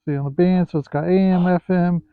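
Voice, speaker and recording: A man with a fairly high voice talks through a product review off the cuff. His delivery is hesitant, with pauses to look things over. The sound is narrow and phone-like, with very little room in it. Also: steady tone, clean tone, no room sound. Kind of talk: casual unscripted product review. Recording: thin, phone-like, band-limited sound.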